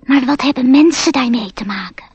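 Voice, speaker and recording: Tiny scornful storybook mouse for radio play: very raspy, high, prim and formal. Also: female voice, a little fast, darkish tone, no echo. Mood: prim, admonishing. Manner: formal, matter-of-fact. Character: small talking mouse, formal, frank, scornful of humans. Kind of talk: radio drama acting.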